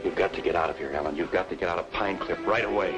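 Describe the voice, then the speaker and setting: An actor playing a brooding, haunted man in an old-time radio noir drama, with an ominous, halting delivery. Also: low-pitched, close to an everyday voice, rough recording. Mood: foreboding, urgent. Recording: vintage radio drama, loud music behind.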